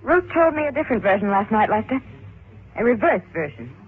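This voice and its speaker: Through narrow, faintly noisy old radio sound, an anxious young woman in a crime drama. Her voice is high and pleading. She acts dramatically and defensively. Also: big pitch swings, fairly quick. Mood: insistent. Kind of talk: 1940s-50s radio drama acting.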